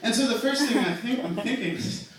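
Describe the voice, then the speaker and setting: A young man in his twenties doing a stand-up routine in a comedy club, telling self-mocking stories in a slow, dry way. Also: very high-pitched, lively intonation, very noisy. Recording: echoey club room miked from a distance.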